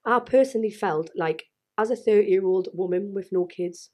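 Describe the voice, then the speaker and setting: Casual geordie woman in her 30s, frank and chatty, talks like she's with her best mate, medium pitch, swears now and then. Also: normal speed, warm tone. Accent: Geordie, North East England. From North East England. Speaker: podcast co-host. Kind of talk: casual chat.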